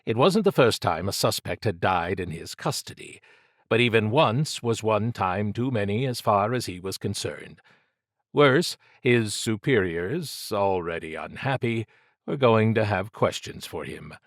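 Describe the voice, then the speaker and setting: Low-voiced man narrating an audiobook. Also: expressive, unhurried, no room sound, clean studio sound. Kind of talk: scripted fiction narration.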